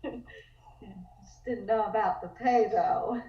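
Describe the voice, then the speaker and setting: Casting: woman playing tipsy young nurse, slow and slurred, wry comic drama; distant, dull audio. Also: medium pitch. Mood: tipsy amusement. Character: drunk, sardonic young nurse joking with her friends. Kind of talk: comic table read. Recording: roomy, distant, dull sound.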